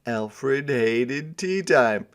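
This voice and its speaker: A man reading a storybook aloud to children as a teacher, moving between dramatic narration and quieter explanatory asides. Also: medium pitch, lively intonation, extremely slow, clear, resonant tone.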